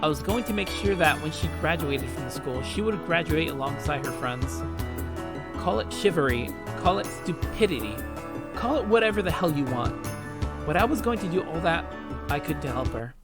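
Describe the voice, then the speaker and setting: A man's voice: fairly high pitch, animated, normal speed, over loud music. A man hosting a let's play, reading a visual novel aloud in a dramatic way and swearing casually.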